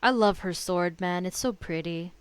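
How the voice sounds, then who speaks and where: A woman's voice: on the low side, normal speed, clean tone. A woman giving casual reaction commentary as she streams a game.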